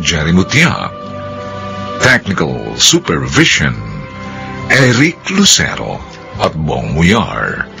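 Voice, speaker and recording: A man's voice: low voice, creaky. A man with a Filipino accent giving dramatic scripted narration for a radio drama. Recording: music bed under narration.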